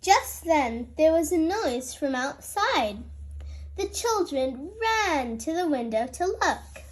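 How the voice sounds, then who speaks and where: A woman narrates a children's picture book aloud. Her voice is high and animated, and she takes her time over each phrase. The style is expressive read-aloud storytelling. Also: slow pace. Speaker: storybook reader.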